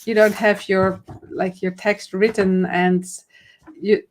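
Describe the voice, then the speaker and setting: A woman's voice: medium pitch, flat delivery, takes it slowly. A woman with a Dutch accent, a confidence trainer and host, giving friendly coaching tips in a live conversation, explaining with many fillers and restarts. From Netherlands.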